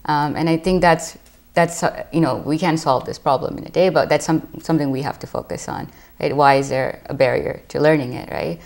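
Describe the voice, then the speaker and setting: A woman's voice: sits low, brisk, creaky, darkish tone. A young woman speaking as a panel guest, earnest and in the habit of tagging her points with "right?". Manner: earnest, keeps adding "right?". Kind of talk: panel discussion.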